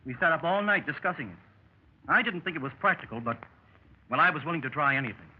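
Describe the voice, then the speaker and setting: Seasoned adventurer, animated 1930s radio voice. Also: male voice, on the high side, fast, breathy edge. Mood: matter-of-fact bravado. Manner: storytelling, matter-of-fact bravado. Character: seasoned American secret service adventurer telling his exploits. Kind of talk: 1930s radio drama acting. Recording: muffled, noisy vintage 1930s radio recording.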